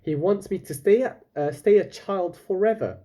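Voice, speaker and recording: A man's voice: sits high, normal speed, warm tone, voice pushed up. A man reading the part of a defiant, determined young girl apprentice in a children's storybook, indignant and stubborn.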